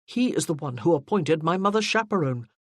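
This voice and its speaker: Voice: medium pitch, expressive, normal speed, no room sound, studio quality, close to an everyday voice. Narrator doing an irritated young lord. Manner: weary, peevish. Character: young nobleman, weary and irritated.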